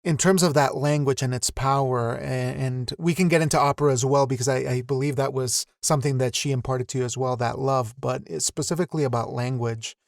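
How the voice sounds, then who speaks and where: A man's voice: medium pitch, fairly even intonation, fairly quick, creaky, few pauses, dry recording, studio quality. A man hosting a podcast interview, asking long, winding questions with restarts and an encouraging tone. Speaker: podcast host.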